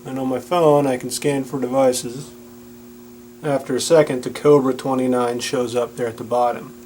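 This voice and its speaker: A man's voice: medium pitch, level tone, normal speed, darkish tone, low-quality recording. A man giving a plain-spoken, instructional product demonstration. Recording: audible background noise with hum.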